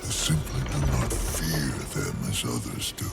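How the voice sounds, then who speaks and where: An ancient otherworldly lord speaks over loud game music in a rock-bottom, gravelly voice. The delivery is solemn, formal and theatrical, with grand swings in intonation. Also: male voice, animated, measured pace, full character voice. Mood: solemn, earnest. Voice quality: very deep, gravelly. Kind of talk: video game cutscene acting.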